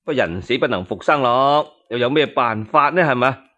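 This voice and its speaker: A man's voice: medium pitch, animated, moderate pace, pushed and bright, lo-fi sound. A man with a Cantonese accent telling a historical tale as a dramatic one-man storyteller, colloquial and theatrical, with rhetorical asides to the listener.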